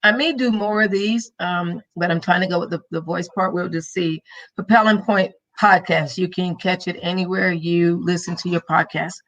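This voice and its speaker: A woman's voice: on the low side, steady tone, moderate pace, fairly bright tone, dull audio. A woman podcast host and minister giving a live-streamed motivational talk, emphatic and preachy, with a faith-filled rhythm.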